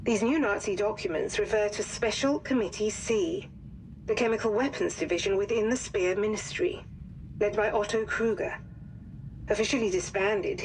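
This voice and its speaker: A woman playing a composed wartime intelligence officer in a game, delivering formal, grave, measured mission briefings. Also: medium pitch, a little hiss or hum, lightly acted, poor audio. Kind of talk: scripted dramatic briefing narration.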